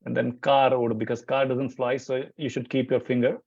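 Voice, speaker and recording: A man's voice: medium pitch, flat delivery, moderate pace, creaky, darkish tone, dry recording, dull audio. A man speaking as an interview guest, rambling with many restarts and fillers. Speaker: Hindi teacher, interview guest. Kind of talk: casual interview chat.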